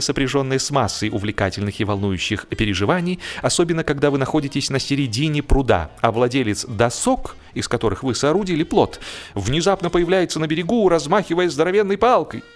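A man reads comic literary prose in a medium-pitched voice, with music playing behind him. His delivery is theatrical and expressive, shaped like a stage storyteller's, using deliberate pauses and repetitions. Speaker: audiobook narrator. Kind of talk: dramatized comic literary narration.

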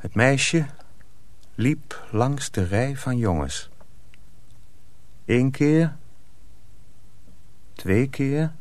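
A man's voice: mid-range voice, lively intonation, very slow, pauses often, no room sound. A man narrating a radio drama as a storyteller, reading a dramatic script.

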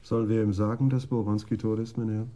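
Actor as a mellow, low-voiced police detective. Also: male voice, flat delivery, fast talker, close to an everyday voice. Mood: matter-of-fact. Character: deferential police detective, weary and plain-spoken. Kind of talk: German radio crime drama acting.

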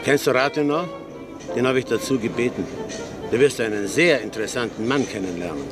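A man's voice: medium pitch, a little fast, natural voice. A cautious, placating friend, played by an actor with an ordinary mid-range voice. He soothes and warns in a classic radio play. Music plays under the scene. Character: Helmberger, well-connected man, friendly, cautious.

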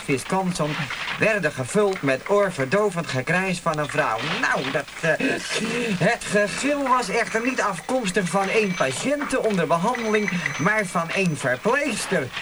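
An actor playing a crude, excitable man who reads a lurid newspaper aloud with muttered asides, in a noisy old radio play recording. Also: high voice. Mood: lewd, excited. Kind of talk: Dutch radio comedy acting.

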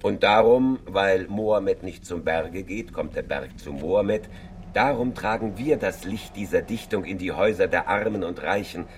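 An actor performs a lofty male radio director in a radio play. His low voice moves in measured, solemn declamation, full of reverence for great poetry. A faint noise sits under the recording. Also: lightly acted. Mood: solemn, exalted. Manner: grandiloquent, measured.

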